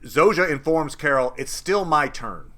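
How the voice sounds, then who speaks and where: A man co-hosting a podcast, chatting casually and thinking aloud, with quick restarts and little acted asides. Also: a little high, lively intonation, sharp tone. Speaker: podcast co-host. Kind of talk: casual chat about a TV episode.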